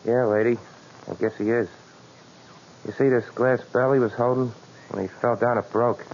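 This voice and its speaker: An actor as a blunt working-class fellow with a creaky, gravelly voice and plain colloquial delivery. It is 1940s radio acting on a dull recording with a faint hiss. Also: male voice, mid-range voice, fairly even intonation, normal speed, darkish tone, lightly acted. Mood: somber. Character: blunt working-class male bystander at the morgue.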